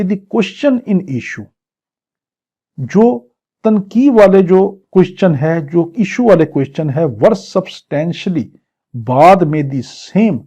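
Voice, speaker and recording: A man gives an exam-prep law lecture. He reads each clause of the statute slowly in English, then explains it and quizzes the listener. His mellow, slightly high voice comes through a dull, lo-fi recording. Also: husky. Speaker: law teacher.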